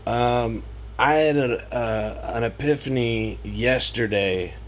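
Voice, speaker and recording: A man in his forties, hoarse from singing, rambling in an unscripted vlog from his home computer setup. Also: medium pitch, slow pace, slight background noise. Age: 40s. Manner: rambling, loose, self-interrupting. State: raspy from singing. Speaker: vlogger. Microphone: home studio mic through audio interface.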